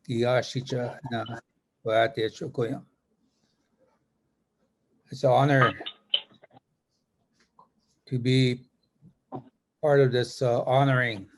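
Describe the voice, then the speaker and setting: An older man whose first language is Lakota, giving a ceremonial prayer and speaking about honoring one another with slow, measured pauses. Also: mid-range voice, a little hiss or hum, low-quality recording. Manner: slow, measured, pausing often. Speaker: spiritual leader giving opening prayer.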